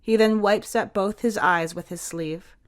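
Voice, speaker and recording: A woman's voice: medium pitch, unhurried. A woman narrating a scripted audio story.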